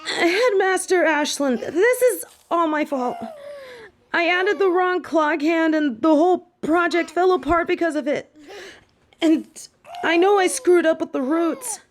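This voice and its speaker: A woman's voice: very high-pitched, unhurried, a little hiss or hum. A woman playing an anxious, earnest young witch student in a fantasy audio drama, hesitant and pleading. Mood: pleading, guilty.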